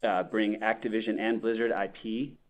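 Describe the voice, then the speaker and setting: A man, a company executive, answering an analyst's question on an earnings call in a measured, corporate way with frequent fillers. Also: on the low side, level tone. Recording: tinny conference call line.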